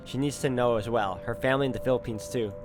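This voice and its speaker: A man reading introspective first-person visual novel narration in a measured way. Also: mid-range voice. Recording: faint music under the voice. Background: music.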